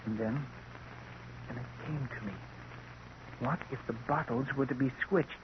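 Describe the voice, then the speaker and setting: A brooding, scheming middle-aged husband , played by a man in old radio drama style, with intense, theatrical delivery. The sound is muffled, with clear hiss and noise from the vintage recording. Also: medium pitch, moderate pace, natural voice. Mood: tense, scheming.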